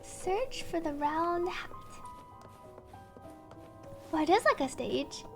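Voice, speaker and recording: A woman's voice: very high-pitched, sing-song, takes it slowly, a bit bright. A woman streaming a game, chatting playfully and reading on-screen text aloud in a storytelling way over game music. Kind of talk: live gameplay commentary, reading subtitles and narration aloud. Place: indoor stream setup with game music behind.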